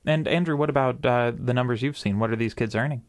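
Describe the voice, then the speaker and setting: A man's voice: medium pitch, quick pace, no echo, studio quality. A man hosting a live radio news special with listener calls. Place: radio studio.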